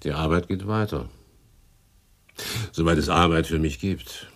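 An actor playing a world-weary, wry private detective, narrating and acting in a hard-boiled radio drama with laconic, dry delivery. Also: male voice, extremely low pitch, a little slow, heavily acted. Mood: wry, wary. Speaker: narrator and lead character.

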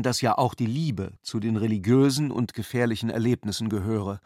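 A man reading literary narration for a radio drama in a measured, articulate way. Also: medium pitch, dry recording, clean studio sound.

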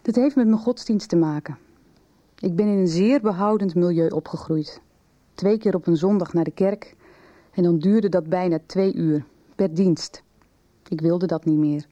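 An actress plays a woman teacher in a radio soap, her voice on the low side and very mellow. She confides gravely, choosing words with hesitation and keeping everything measured and understated. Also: natural voice. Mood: subdued, confiding. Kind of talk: naturalistic 1990s radio drama dialogue.

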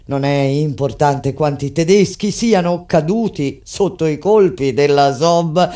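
A woman playing a resistance fighter who recounts a wartime uprising in solemn, deliberate, declamatory theatrical narration. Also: deep voice. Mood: grave, subdued. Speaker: stage actor and narrator. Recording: loud music under the voice. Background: loud live orchestra music.

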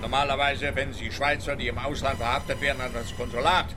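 A man's voice: mid-range voice, steady tone, quick pace, noisy background, close to an everyday voice. An actor playing a sardonic, blunt, grumbling male police detective in a German-language radio play, sarcastic and skeptical. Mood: dry, grumbling.

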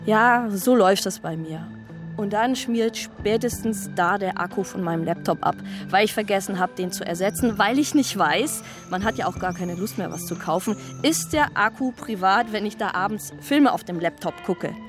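Music plays clearly under a woman's mid-pitched voice. An actress plays her as a flustered, self-justifying character in a radio drama monologue. She rambles, digresses and keeps questioning herself in a natural, everyday way. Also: moderate pace, lightly acted. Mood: apologetic, digressing.

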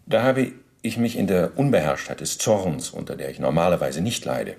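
A former SS officer giving testimony in a radio drama. The voice is low, and the wording is stiff officialese. He justifies himself in pedantic detail and stays formally deferential to his interrogator. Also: very animated, normal speed, vocal fry, a little mellow, full character voice. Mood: self-justifying, precise. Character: male former SS officer under interrogation, self-justifying bureaucrat. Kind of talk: radio play, testimony monologue.

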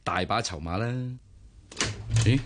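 A man's voice: sits low, unhurried. An actor in a Cantonese radio drama playing a curious, teasing married man with a Hong Kong accent. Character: curious, teasing married father, brother-in-law.

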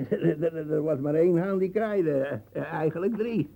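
An actor playing a brutal, boastful old colonial veteran in a radio drama, rambling coarsely and searching for words. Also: male voice, fairly high pitch, unhurried, creaky, narrow, tinny audio. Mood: smug reminiscing. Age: older. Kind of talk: radio drama acting, monologue reminiscence.

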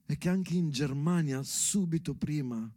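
A man's voice: a little high, a little slow, dark tone, no echo, clean studio sound. A man performing a theatrical solo monologue, declamatory and rhythmic, with repeated phrases. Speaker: stage actor performing a solo show. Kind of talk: theatrical monologue addressed to his daughter.

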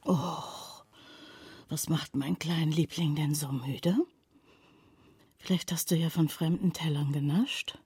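An actress playing a cooing, possessive lover in a radio drama, speaking intimately in a breathy half-whisper. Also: female voice, low-pitched, fairly even intonation, takes it slowly, long pauses, warm tone, no room sound, exaggerated voice. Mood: teasing, suspicious.

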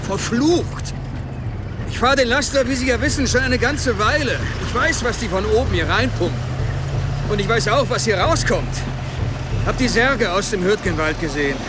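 Radio drama casting: American military truck driver, fatherly and worried, high voice, recorded over heavy vehicle noise. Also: expressive, moderate pace. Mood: urgent, grim. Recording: loud truck engine and outdoor vehicle noise.

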